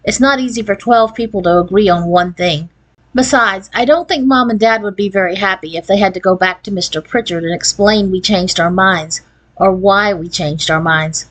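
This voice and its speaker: A woman's voice: mid-range voice, normal speed, natural voice, rough recording. Lady reading as sensible big sister in a kids story, patient, reasoning.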